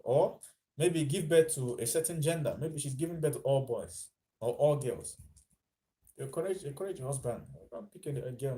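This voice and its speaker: A man's voice: mid-range voice, dark tone, low-quality recording. A Nigerian man giving casual vlog commentary, chatty and dropping into Pidgin as he talks. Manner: chatty, mixes in Pidgin, laughs at his own lines. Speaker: vlogger.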